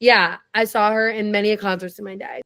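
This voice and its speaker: A young woman guesting on a podcast, chatting eagerly in a low, raspy voice with plenty of vocal fry. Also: steady tone, a bit bright. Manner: chatty and enthusiastic, quick tangents. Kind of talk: casual chat.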